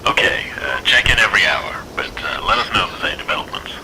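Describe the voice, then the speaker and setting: A man playing a brisk, businesslike starship captain checking in over comms in a tabletop roleplay. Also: on the low side, lively intonation, noisy background, lightly acted.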